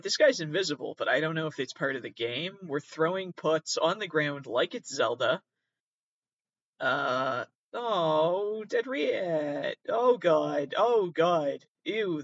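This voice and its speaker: Man, higher-pitched voice, casual reaction host, rambling, sardonic asides, sweary, slightly muffled lo-fi recording. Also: a bit bright. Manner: sardonic, sweary asides and muttered self-talk. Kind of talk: casual rambling reaction commentary, reading aloud from web articles. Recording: roomy, slightly dull lo-fi sound.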